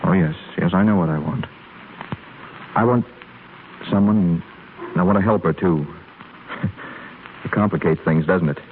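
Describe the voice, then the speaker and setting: A man's voice: sits low, a little slow, long pauses. An actor playing an impulsive, earnest young rich man in a 1930s radio drama, hesitant and urgent by turns. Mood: hesitant, wondering. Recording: muffled scratchy 1930s radio broadcast.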